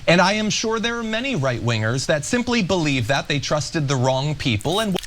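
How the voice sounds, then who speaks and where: A man hosting a political talk show, explaining a news story in a measured, explanatory way. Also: on the high side, big pitch swings, a bit bright, a little hiss or hum. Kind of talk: news commentary.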